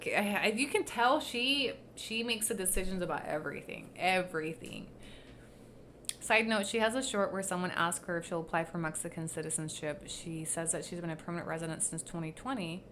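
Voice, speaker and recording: A woman's voice: mid-range voice, sharp tone, slight background noise. A woman with Spanish as her first language, hosting a casual livestream commentary, blunt and sarcastic with frequent swearing. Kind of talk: casual commentary reading posts aloud.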